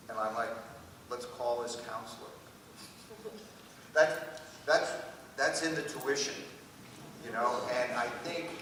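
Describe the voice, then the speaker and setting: A middle-aged American man giving an informal, conversational answer as an admissions panelist. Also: mid-range voice. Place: large hall at a public panel event. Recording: echoey noisy hall, distant mic.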